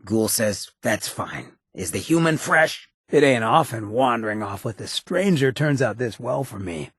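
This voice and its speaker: An actor playing a swaggering, sardonic male ghoul companion in a video game, with a raspy, gravelly voice and a wry, streetwise drawl. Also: medium pitch, lively intonation, unhurried, a bit bright, dry recording, studio quality. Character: swaggering, sardonic male ghoul raider-town rogue, irreverent loyal companion.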